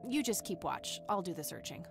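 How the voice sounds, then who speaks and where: A woman's voice: fairly low pitch, sing-song, fairly quick, creaky. A woman reading a wry young woman investigator. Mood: matter-of-fact. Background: game music.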